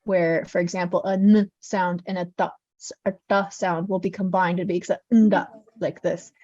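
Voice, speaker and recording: A woman teaching about a language, explaining quickly and at length with fillers, in a guest interview. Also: fairly low pitch, level tone, mellow, no echo, dull audio.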